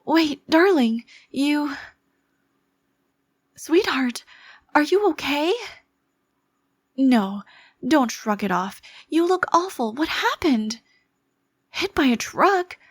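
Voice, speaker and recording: Woman acting a high-voiced obsessive yandere girlfriend. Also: lively intonation, slow, long pauses, no room sound. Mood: shocked, worried. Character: obsessive possessive yandere girlfriend, menacing yet fragile and devoted. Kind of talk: ASMR roleplay acting.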